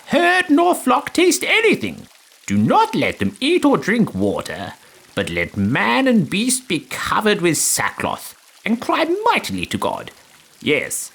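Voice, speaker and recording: A woman's voice: medium pitch, creaky, slightly rough, full character voice. A woman playing a solemn king making a dramatic proclamation in a children's audio story.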